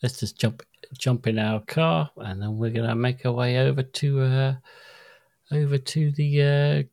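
A man's voice: medium pitch, fairly even intonation, measured pace, creaky, darkish tone, dry recording. A man with a mid-range voice co-hosting a casual podcast. He keeps things moving with playful, teasing remarks. The sound is dry and close-miked. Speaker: podcast co-host. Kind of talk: casual chat.

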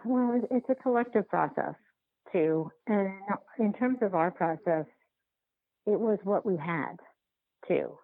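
A woman being interviewed as a documentary filmmaker, talking casually and haltingly, repeating and rephrasing as she thinks aloud, over a remote call. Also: medium pitch, animated. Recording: phone-like narrow-band line.

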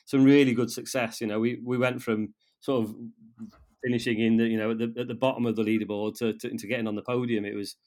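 A North Welsh man in his fifties, speaking as a guest in a relaxed interview. His voice sits at an ordinary middle pitch, and he talks in a halting way, repeating words and starting his sentences over. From North Wales. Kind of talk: casual interview conversation.

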